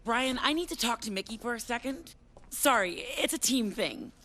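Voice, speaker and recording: A woman's voice: on the high side, animated, moderate pace, bright, forceful tone. An actress playing a clingy, possessive female wrestler in a video game, speaking in an earnest, needy way. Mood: insistent. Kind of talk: scripted video game cutscene acting. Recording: clear background noise, game audio.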